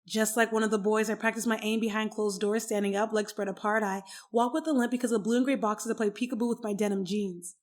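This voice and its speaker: A woman in her twenties performing dramatic spoken word poetry, with theatrical, deliberate phrasing and emphatic stresses. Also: mid-range voice, fairly even intonation, darkish tone. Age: 20s.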